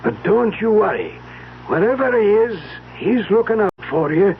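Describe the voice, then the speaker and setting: An actor playing a kindly, loyal household servant in a radio mystery, deferential, gentle and slightly halting. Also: male voice, sits high, big pitch swings, vocal fry, heavily acted. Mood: kindly, reassuring. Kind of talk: old-time radio mystery acting. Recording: noisy, tinny old radio recording.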